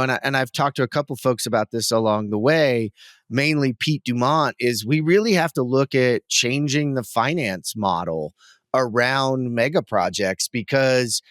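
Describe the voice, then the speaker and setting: A loud, enthusiastic man co-hosting a podcast in casual chat. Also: medium pitch, dry recording, clean studio sound. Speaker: podcast co-host.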